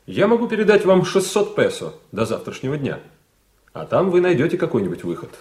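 A man's voice: a little high, wildly swooping pitch, fairly quick, a little mellow, roomy sound, slight background noise. A man acting a polite, obliging shipping agent in a radio drama, speaking in a formal, businesslike way. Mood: courteous, obliging. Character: courteous, businesslike male shipping agent, obliging and smooth-talking. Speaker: actor in a radio play.

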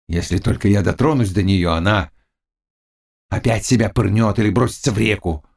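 A man's voice: mid-range voice, expressive, normal speed, gravelly, voice pushed up. A man voicing a fierce, lovesick Cossack chieftain in an audiobook, shifting between brooding mutters and anguished cries. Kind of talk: audiobook character dialogue.

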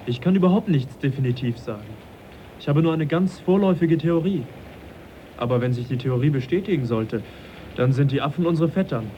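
Man playing a measured, high-voiced gentleman naturalist. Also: animated, lo-fi sound, a little hiss or hum, lightly acted. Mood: calm, wry. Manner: measured, reasoning, dryly ironic. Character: polite, earnest, quietly provocative young naturalist. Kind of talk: radio drama acting.

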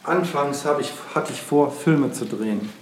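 A man's voice: on the high side, normal speed. A man talking casually and rambling about his art and plans, often tagging his sentences. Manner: rambling, repeats "wohl". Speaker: artist. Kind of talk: casual documentary talk. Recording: roomy, distant mic, noisy background.

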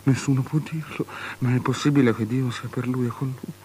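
A man's voice: medium pitch, steady tone, a little fast, darkish tone, close to an everyday voice. An actor playing an anxious, superstitious king in an avant-garde radio drama, declaiming theatrically and sliding into whispers, on a noisy recording. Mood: fearful, uneasy. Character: anxious, superstitious king pleading and bargaining with a princess.

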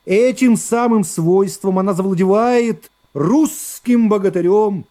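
Guy doing a smug dumb prosecutor in a radio play, puffed-up speechifying, drags out words, kinda high soft voice. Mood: grandiose, accusing. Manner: oratorical, drawn-out words and affected pauses. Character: pompous, self-satisfied, dim-witted male assistant prosecutor orating in court.

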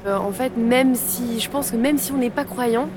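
A woman's voice: a little high, normal speed, crowd noise. A woman giving a hesitant, spontaneous interview answer as a tourist, searching for words. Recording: noisy outdoor city street, distant.

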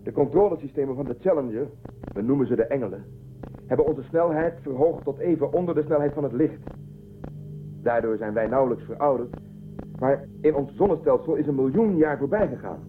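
A man's voice: mid-range voice, lively intonation, brisk, natural voice. Man acting an earnest starship commander, phone-like sound. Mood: earnest, explaining. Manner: earnest, questioning, persuasive. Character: earnest young male starship commander searching for Earth. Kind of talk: Dutch science-fiction radio drama acting. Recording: narrow-band muffled audio, faint music.

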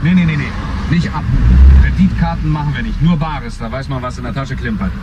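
A man's voice: medium pitch, brisk, lo-fi sound, very noisy, close to an everyday voice. An actor playing a cab driver who rambles fatalistically to his passenger. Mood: rambling. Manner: rambling, fatalistic small talk. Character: chatty resigned male cab driver. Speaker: taxi driver. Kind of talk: radio drama acting.

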